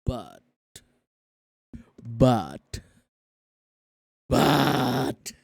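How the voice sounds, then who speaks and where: Animated woman streamer, dry close sound. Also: rumbling low, very slow, gravelly, slightly rough, no echo. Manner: theatrical reading with exclamations and asides. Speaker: streamer playing a visual novel. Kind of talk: live game reading with casual commentary.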